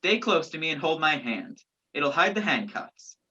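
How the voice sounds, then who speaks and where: Woman playing a sardonic man on the run, low-voiced. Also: big pitch swings, normal speed, pushed and bright, breathy edge, full character voice. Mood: commanding. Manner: dry sarcasm, clipped orders. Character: sardonic, commanding man on the run, falsely accused. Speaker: student stage actor. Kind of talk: radio play acting. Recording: dull, lo-fi virtual recording.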